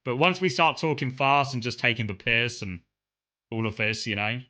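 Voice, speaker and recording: A man's voice: medium pitch, lively intonation, moderate pace, bright, forceful tone. A young man from Kent with a southern English accent, chatting casually and rambling to his stream viewers, full of fillers and restarts. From England (Kent).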